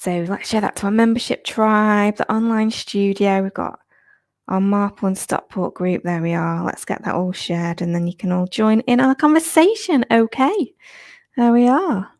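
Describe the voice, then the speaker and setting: Chatty fitness instructor. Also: female voice, mid-range voice, moderate pace, a little mellow, no echo, studio quality. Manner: chatty, upbeat, laughs often. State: slightly croaky. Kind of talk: live-stream chat with viewers. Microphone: new clip-on microphone.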